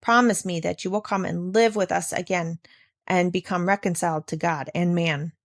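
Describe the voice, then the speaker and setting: A woman's voice: on the low side, fairly even intonation, moderate pace, no room sound, clean studio sound, close to an everyday voice. A woman voicing a kindly old village pastor in a storybook, earnest and formal in manner. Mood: earnest, conciliatory.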